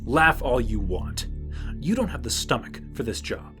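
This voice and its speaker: A man's voice: mid-range voice, big pitch swings, a little slow, a bit bright. A man plays a bitter, scheming bounty hunter from an audio drama. He is dour and threatening, with sarcasm in lines that rise and fall widely. Music plays behind him. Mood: bitter, menacing.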